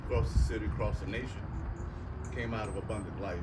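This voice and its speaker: A man's voice: on the low side, fairly even intonation, fast. Middle-aged man, motivational talk, earnest and preachy, repeats himself, distant mic, heavy background noise. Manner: earnest, preachy, repeats words as he builds a point. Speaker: radio announcer speaking to a men's program. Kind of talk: motivational speech to a group.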